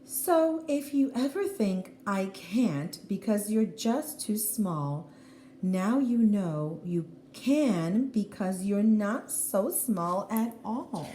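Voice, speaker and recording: A woman teacher reading a children's picture book aloud in an expressive, deliberate way, with explanatory asides to young listeners. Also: medium pitch. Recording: roomy echo, faint background noise.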